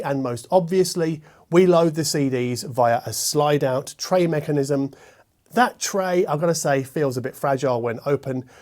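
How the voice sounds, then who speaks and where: A man hosting a scripted hi-fi product review, speaking in a measured way with wry asides. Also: fairly high pitch, darkish tone. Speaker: host and hi-fi reviewer.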